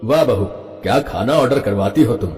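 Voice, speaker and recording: A man playing an affectionate, indulgent elderly grandfather in an animated family story, coaxing and soft-hearted. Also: medium pitch, fairly quick, close to an everyday voice. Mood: pleased. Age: older. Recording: echoey hall-like reverb.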